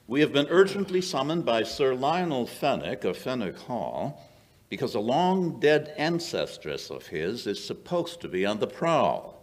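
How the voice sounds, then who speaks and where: A man's voice: mid-range voice, very animated, measured pace. An older man playing a precise, knowing English detective in an old-time radio drama, explaining things in a declamatory way. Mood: grave. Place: small indoor stage. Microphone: stand microphone.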